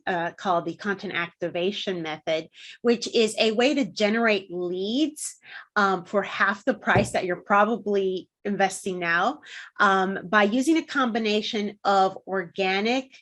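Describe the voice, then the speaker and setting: A woman's voice: medium pitch, a little slow, fairly bright tone. A woman in her forties from Puerto Rico, a guest explaining her work in a friendly way with frequent ums. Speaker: guest, content marketing consultant. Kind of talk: interview answers.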